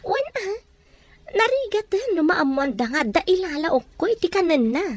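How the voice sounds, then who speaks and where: A conniving young wife in a radio drama, played by an actress. Her female voice sits very high and swings widely in pitch as she schemes against her older husband. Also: sing-song, moderate pace. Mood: conspiring.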